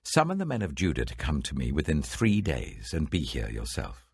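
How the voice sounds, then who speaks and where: A man's voice: rumbling low, wildly swooping pitch, normal speed, breathy edge, no room sound, clean studio sound, full character voice. A man voicing an ancient king in a scripture reading, authoritative and worried. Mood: commanding.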